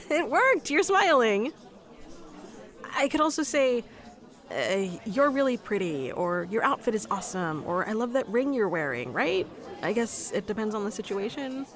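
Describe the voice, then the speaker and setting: A woman playing a shy, nerdy young woman in an ASMR roleplay, talking to the listener in a hesitant, self-conscious, rambling way with small stammers. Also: mid-range voice. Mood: excited, giggly. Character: shy, nerdy young woman, awkward stranger in coffee shop. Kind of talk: ASMR roleplay, one-sided conversation with listener.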